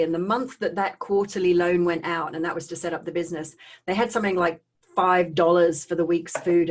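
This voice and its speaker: A middle-aged woman with an Australian accent giving a personal talk to online viewers in a conversational way, with animated asides and checking in with her listeners. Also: on the low side. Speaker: speaker hosting a live online talk on trauma recovery.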